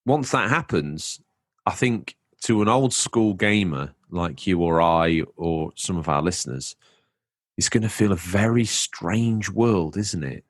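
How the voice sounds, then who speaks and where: A man's voice: low voice, a little slow, no room sound, clean studio sound. A man co-hosting a casual podcast chat, wry and full of rhetorical questions. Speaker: podcast co-host.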